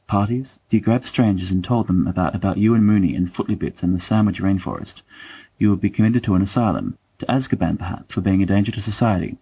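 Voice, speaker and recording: A man's voice: deep voice, dark tone, breathy edge, no echo, poor audio. A man reading fiction aloud expressively, as an awkward, bookish young letter writer, hesitant and wry. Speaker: volunteer audiobook reader.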